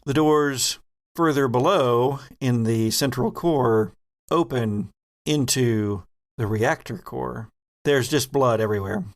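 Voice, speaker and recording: A man acting as game master, narrating a tabletop horror roleplaying game in a halting, deliberate way with vivid detail. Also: mid-range voice.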